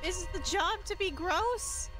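A woman's voice: extremely high pitch, slow pace, over music. A woman voicing a goofy, earnest young male hedgehog hero in a comedic fan dub, flustered and rambling. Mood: panicky.

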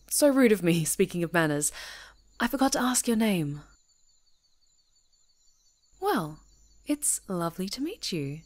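A woman voicing a friendly young woman camping alone on a retreat, chatty and teasing and quick to laugh, in a scripted audio roleplay. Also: on the low side. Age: young adult. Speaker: ASMR roleplay performer.

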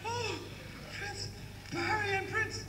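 A man's voice: extremely high pitch, fairly even intonation, slow, over music. A young man playing an eager, cheerful pantomime hero, calling out to children from the stage. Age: young adult. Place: theatre stage, live performance. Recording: echoey noisy theatre hall.

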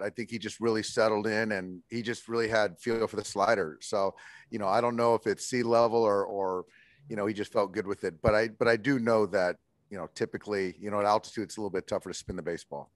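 A man in his forties, a baseball manager answering postgame press questions in a measured way, with many fillers and restarts, heard over a video call. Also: fairly low pitch, steady tone, no room sound. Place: indoors in front of a logo backdrop.

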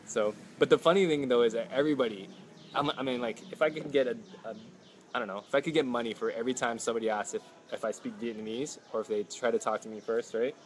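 Man, 30s, travel livestreamer; lively storyteller, mid pitch, phone mic outdoors with faint noise. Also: fast. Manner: upbeat, animated storyteller, many "like"s. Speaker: travel vlogger. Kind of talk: casual live chat. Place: outdoors at a home stay patio. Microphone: phone held close.

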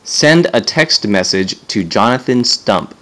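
A man's voice: on the low side, expressive, a little slow, fairly bright tone. A man giving a casual hands-on gadget demo as a reviewer, offhand and sardonic, swearing and sounding exasperated.

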